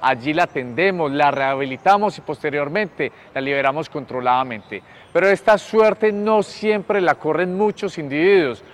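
A man with a Colombian accent giving a formal public appeal as an official. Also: a little high. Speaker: environmental authority director.

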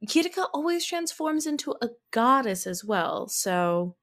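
A woman guesting on a podcast, chatting casually in a playful, expressive way. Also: high-pitched, measured pace. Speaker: podcast guest, tabletop roleplay performer. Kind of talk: casual chat.

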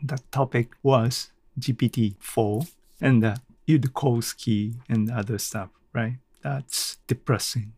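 A man's voice: medium pitch, fairly even intonation, takes it slowly, a little mellow, husky, dry recording. A man hosting a casual solo podcast in an audio-blog style, speaking hesitantly and searching for his words. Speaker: podcast host.

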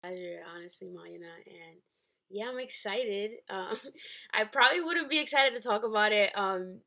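A woman's voice: mid-range voice, expressive, moderate pace, gravelly, pushed and bright. A woman in her twenties from the Dominican Republic and New York, chatting casually as a guest in a hesitant, rambling way. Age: 20s. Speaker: podcast guest. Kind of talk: casual chat.

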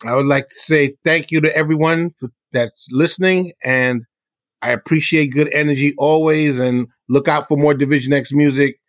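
A man's voice: on the high side, fairly even intonation, normal speed, dry recording. A man from the South Bronx, a hip hop artist, talking casually as an interview guest. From South Bronx, New York.

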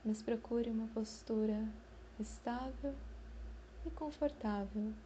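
A Brazilian woman guiding a meditation in a soothing, unhurried manner, with long pauses between short phrases. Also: on the high side, warm tone, a little hiss or hum. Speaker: meditation guide. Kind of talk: slow guided meditation.

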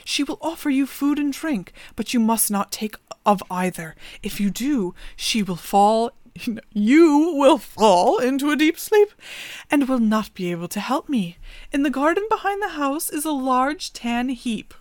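Brief: enchanted raven princess, lilting and high, lively rise and fall, a woman reading it aloud, dry sound. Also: a little slow, no echo. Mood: earnest. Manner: lilting, higher put-on voice.

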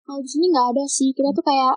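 High-voiced teen girl, slight Surabaya lilt. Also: fairly even intonation, quick pace, no room sound. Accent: slight Surabaya lilt over Jakarta slang. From Jakarta. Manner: chatty, trails off into fillers. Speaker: guest. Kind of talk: casual chat on a call.

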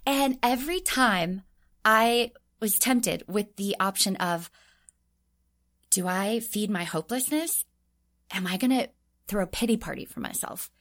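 A woman in her thirties from Southern California, a beauty coach sharing a personal testimony in a podcast interview with animated, expressive storytelling. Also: mid-range voice, a little slow.

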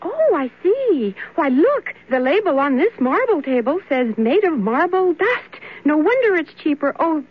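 A woman's voice: high voice, sing-song, unhurried, hardly any pauses, a little hiss or hum. An actress playing a naive, gushing woman shopper in a 1970s radio advert. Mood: wide-eyed, delighted. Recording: tinny phone-like old radio.

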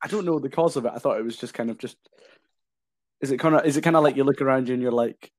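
A man's voice: mid-range voice, racing, darkish tone, dry recording. A seventeen-year-old co-hosting a casual film chat, feeling his way through questions with lots of hesitations. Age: teens. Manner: hesitant, many fillers and restarts. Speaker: podcast co-host.

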